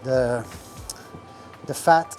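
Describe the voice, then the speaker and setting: Man talking shop, halting. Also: mid-range voice, a little mellow, soft background music. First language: French. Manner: rambling, many fillers, searches for words. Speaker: restaurant owner. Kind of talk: casual podcast talk. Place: restaurant kitchen.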